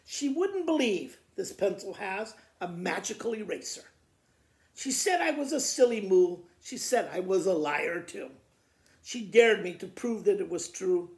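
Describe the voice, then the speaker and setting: A man in his sixties with a gravelly voice, reading children's poems aloud like a school principal to students, in a living room with the camera a little way off. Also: high voice, lively intonation, measured pace. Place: living room by a fireplace, indoors. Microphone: camera a short distance away. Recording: roomy indoor echo.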